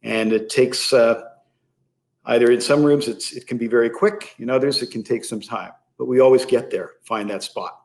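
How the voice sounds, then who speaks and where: A man with a mid-pitched voice gives explanatory product talk as an interview guest. His delivery is measured, and he often pauses partway through a sentence to find the next phrase. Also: a little mellow. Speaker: interview guest, loudspeaker company sales executive.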